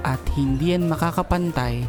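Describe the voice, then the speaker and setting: An actor playing a gentle male boss who is in love, speaking softly and earnestly in an audio drama. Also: mid-range voice, normal speed, natural voice. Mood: tender. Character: gentle smitten male boss, secretly playful. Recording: music bed under voice. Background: romantic background music.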